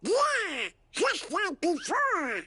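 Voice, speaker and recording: A man voicing an excitable cartoon duck in a video game, squawky and garbled. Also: very high voice, extremely slow, background noise, exaggerated voice. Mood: excited. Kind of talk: animated video game voice acting.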